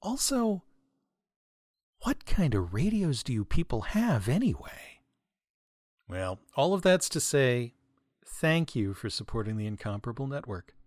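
A man's voice: medium pitch, big pitch swings, unhurried, long pauses, darkish tone, dry recording, clean studio sound. A man hosting a podcast, reading scripted comic corrections and listener mail with asides in a tongue-in-cheek, mock-earnest way.

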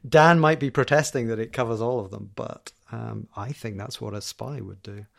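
A man on a game show panel, casually reasoning out his argument in a halting way. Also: medium pitch, vocal fry, a bit bright.

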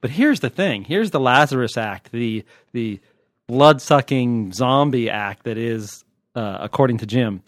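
A man's voice: mid-range voice, lively intonation, a little slow, no room sound, clean studio sound. An American man chatting casually and wryly as a podcast host and TV critic. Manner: wry, joking.

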